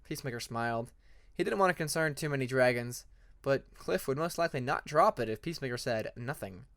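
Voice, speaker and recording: A man's voice: mid-range voice, animated, fairly quick, fairly bright tone, no echo, clean studio sound. A man reading scripted story narration as an amateur audiobook narrator.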